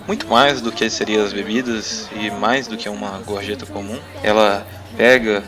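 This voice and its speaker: A man's voice: fairly low pitch, steady tone, normal speed. A man narrating a tabletop roleplaying game as game master, with music behind him. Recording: clear music bed behind.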